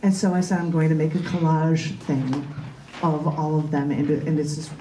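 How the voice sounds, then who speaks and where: Low-voiced woman poet in an echoey room. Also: flat delivery, normal speed, crowd noise. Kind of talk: open mic poetry reading with casual asides. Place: large bookstore open mic, indoors. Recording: echoey room, distant microphone.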